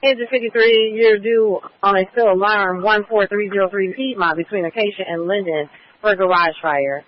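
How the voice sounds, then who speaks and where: A man's voice: high-pitched, fairly even intonation, normal speed, slight background noise. A man working as a fire department radio dispatcher, reading back each unit's call in clipped, formal radio phrasing. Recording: muffled radio transmission.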